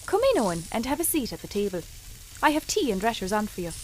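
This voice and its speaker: An actress playing a hospitable, gently teasing island daughter-in-law with a Kerry Irish accent in a radio drama. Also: female voice, mid-range voice, very animated, quick pace, slight background noise, lightly acted, low-quality recording. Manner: bantering, homely.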